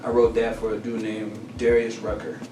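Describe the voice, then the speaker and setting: A man who writes songs, a guest telling stories in a casual interview, animated and slangy. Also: fairly low pitch, normal speed, music in the background. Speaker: guest songwriter. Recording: echoey room, distant mic, noisy.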